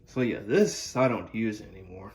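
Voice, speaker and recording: A man presenting a collection in a casual showcase style, with halting, wordy phrasing. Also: lowish voice, animated, darkish tone. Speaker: video creator presenting a collection. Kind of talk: casual product showcase narration.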